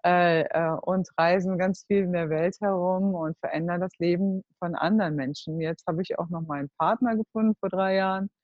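A woman in her forties from Germany telling her life story as a guest, earnest and halting, often searching for words. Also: on the low side, fairly even intonation, no room sound. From Germany (Cologne). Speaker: entrepreneur, guest. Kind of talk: personal life story in a group talk. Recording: dull, dry close recording.

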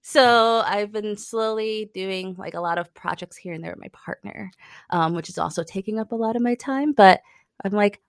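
A woman's voice: mid-range voice, moderate pace, clear, resonant tone, no echo, studio quality. A young adult woman, a climbing guide, chatting casually in an interview, often laughing and saying "like".

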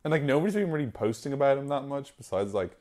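A man's voice: mid-range voice, expressive, a little mellow. A young man co-hosting a podcast, chatting casually, agreeable and joking. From half American, lives in Ireland. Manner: quick, agreeable, joking, many fillers. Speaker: podcast co-host. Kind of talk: casual gaming chat.

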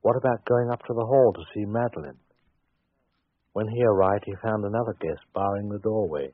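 A man's voice: fairly low pitch, a little fast, dry recording, lightly acted. A man playing a wry, ominous storyteller, narrating a murder tale for a 1940s radio drama. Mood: dry, suspenseful. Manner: wry, suspenseful. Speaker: narrator and host. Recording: tinny, scratchy 1940s radio broadcast.

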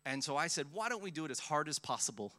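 A man's voice: on the high side, big pitch swings, fast talker, pushed and bright. A man in his thirties preaching as a visiting pastor, telling self-deprecating stories with jokes, fillers and restarts. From born in the Philippines, raised in Australia. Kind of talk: guest sermon, personal storytelling.

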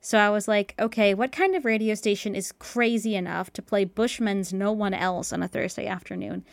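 A guest on a casual podcast, telling personal stories in a chatty way with plenty of fillers. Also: female voice, mid-range voice, moderate pace, creaky, dry recording. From United States. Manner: chatty, storytelling with many fillers.

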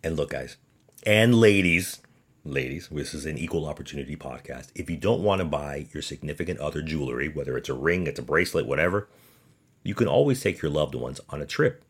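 Deep-voiced man giving an emphatic podcast rant. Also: expressive, fairly quick, husky. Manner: emphatic, sarcastic, with rhetorical questions. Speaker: podcast host. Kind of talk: opinionated sports podcast monologue.

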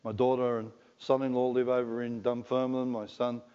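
A man's voice: mid-range voice, flat delivery, normal speed, warm tone, clear, resonant tone. A middle-aged man with an Australian accent preaching a sermon in a conversational, joking way, often asking the congregation questions. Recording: dull lo-fi recording in a reverberant hall.

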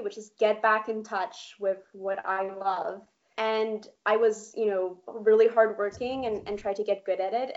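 A woman in her thirties, born in Poland, telling her career story in an interview in a chatty, lively way with casual swearing and frequent laughs. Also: mid-range voice, muffled recording. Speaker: guest animator.

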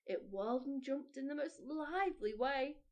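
A woman hosting a children's storytime, narrating a storybook in an expressive, animated way. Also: high voice, normal speed. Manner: animated, addressing children directly.

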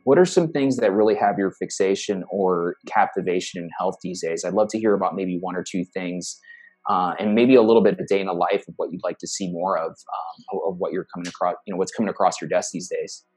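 A man's voice: sits low, a little fast, darkish tone, husky, soft background music, poor audio. A man hosting a health podcast, enthusiastic and rambling, often repeating words as he asks questions. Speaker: podcast host. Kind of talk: hosting an interview.

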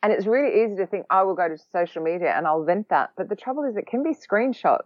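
A woman's voice: mid-range voice, lively intonation, no room sound. Chatty Australian woman, dull dry sound. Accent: Australian, long lived in London. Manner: chatty, quick-witted, a bit sarcastic. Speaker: podcast guest, social recruiting trainer. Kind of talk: casual podcast interview.

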